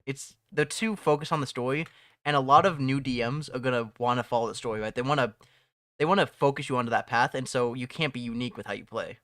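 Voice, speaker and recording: A young man talks loosely on a home live stream, drifting between topics and laughing as he goes. His voice is bright and forward. The mic sounds close and dry, with almost no room sound. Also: mid-range voice, fast talker, dry recording. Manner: rambling, laughs often, restarts phrases. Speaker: streamer and mod developer. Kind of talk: casual live-stream chat.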